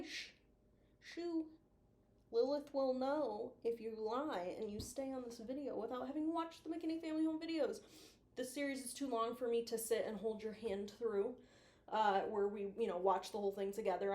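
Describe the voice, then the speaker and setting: A woman's voice: fairly high pitch, a little mellow, echoey room. A woman chatting casually as a video host giving commentary, playful and teasing, with the odd mild swear. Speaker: YouTube host reviewing analog horror.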